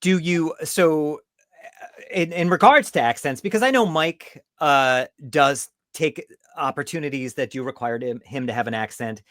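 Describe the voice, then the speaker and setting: A man's voice: fairly high pitch, normal speed, a bit bright, no room sound, clean studio sound. A man hosting a podcast, chatting casually and asking long, rambling questions in an affirming way. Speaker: podcast host. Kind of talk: casual interview chat.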